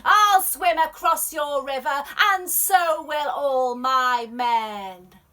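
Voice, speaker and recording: A woman's voice: high voice, slow pace, bright, forceful tone, pitched above the performer's own voice, full character voice. A woman voicing a greedy, powerful emperor in a storybook, bellowing and commanding. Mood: defiant, shouting.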